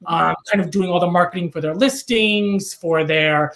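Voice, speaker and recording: Rambling New York man, gravelly voice. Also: sits high, moderate pace, a bit bright. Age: 30s. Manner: rambling, many ums and restarts. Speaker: real estate broker. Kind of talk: casual interview answers.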